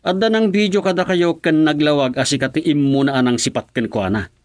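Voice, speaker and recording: A man's voice: a little high, a little fast, dry recording, clean studio sound. A man with an Ilocano accent playing a village chairman in a comedy radio drama, stern and scolding.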